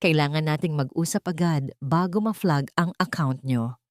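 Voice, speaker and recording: A woman's voice: low voice, moderate pace, no room sound, studio quality, natural voice. Woman voicing an urgent, formal bank manager. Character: worried bank manager delivering urgent warning by message.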